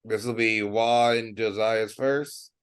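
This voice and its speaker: A man's voice: lowish voice, steady tone, takes it slowly, clean tone, no echo, studio quality. A young American man chatting casually as a podcast co-host, in a hesitant, agreeable way. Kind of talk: casual chat.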